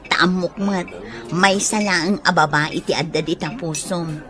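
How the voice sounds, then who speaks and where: A woman's voice: fairly low pitch, steady tone, measured pace, background noise, close to an everyday voice. An actress playing a teasing, frank young woman who nudges a friend toward romance in a radio drama.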